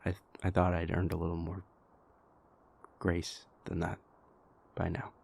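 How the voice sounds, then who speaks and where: A man playing a wounded, devoted vampire prince in an intimate audio roleplay, speaking haltingly with repeated words in a husky, gravelly voice. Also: deep voice, monotone, a little mellow, full character voice. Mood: hurt, frustrated.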